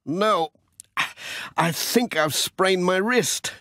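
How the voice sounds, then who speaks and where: A man's voice: sits high, very slow, pushed and bright, no echo, clean studio sound, pitched above the performer's own voice, heavily acted. A man reading the part of a friendly, good-natured village postman in a children's audiobook. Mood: pained. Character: cheerful friendly village postman, a little hapless.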